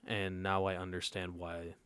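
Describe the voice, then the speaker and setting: A young man of nineteen, his voice sitting very low, recounts a story in easygoing podcast chat. He keeps it loose and conversational, often tossing a quick check-in to his co-hosts. The sound is dry and close, with no room around it. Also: monotone, fairly bright tone, clear, resonant tone, no echo. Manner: laid-back storytelling that often checks in with the listeners. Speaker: podcast co-host. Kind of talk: casual chat, telling a personal story.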